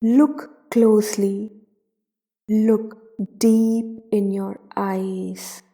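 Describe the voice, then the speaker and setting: A woman narrating a guided meditation in a soothing, deliberate manner, leaving long pauses between short phrases. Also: mid-range voice, flat delivery, dark tone.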